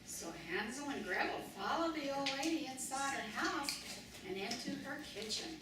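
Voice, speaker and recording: A woman tells a storybook tale for children as a live puppet show narrator. Her voice is a little high. It comes from a distant mic in a very echoey room with clear background noise. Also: lively intonation, moderate pace.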